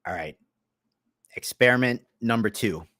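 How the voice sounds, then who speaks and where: A man hosting a casual livestream, chatty and excitable, repeating himself as he experiments. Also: mid-range voice, a little slow, no echo, studio quality. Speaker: livestream host.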